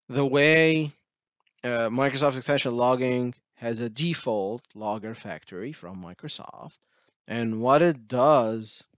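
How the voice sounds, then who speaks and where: Low, slow-talking man presenting slides. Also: no echo. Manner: explains step by step with many "uh" fillers. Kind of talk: technical talk over slides.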